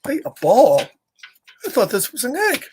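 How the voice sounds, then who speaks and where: A man's voice: very high voice, huge pitch swings, normal speed, noisy background, voice pushed up, heavily acted. A man voicing an earnest, fussy, self-important goose in a children's picture book. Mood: dismayed.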